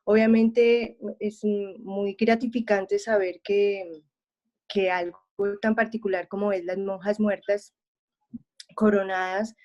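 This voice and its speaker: A Colombian woman with a Bogotá accent, speaking slowly and hesitantly with many fillers on a video call. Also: mid-range voice, flat delivery, a little mellow, muffled recording. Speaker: visual artist, audience member.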